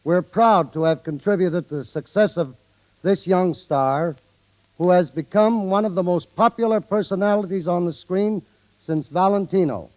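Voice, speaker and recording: A man with a distinctly high voice reads a formal prepared speech on 1930s radio. The old broadcast recording is dull and lo-fi. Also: normal speed. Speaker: film studio guest speaker.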